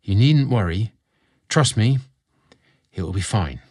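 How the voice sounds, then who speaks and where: A man's voice: on the low side, animated, a little slow, darkish tone. A man voicing a stoic young butler in love, reassuring and tender, in an audio story. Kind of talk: audio storybook dramatic reading.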